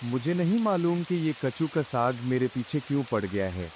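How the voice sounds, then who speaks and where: Man playing a fussy, animated village son-in-law. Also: medium pitch, normal speed, lightly acted. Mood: aggrieved. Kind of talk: comic cartoon story dialogue. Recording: faint hiss with rain sounds.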